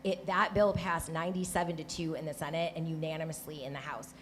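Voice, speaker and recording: A woman with a Michigan accent speaks on a live panel, her voice sitting quite low. She talks conversationally, often restarting and checking in with a quick "right?". A faint hiss of noise sits behind her. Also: fairly even intonation. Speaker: panelist, former Senate staffer.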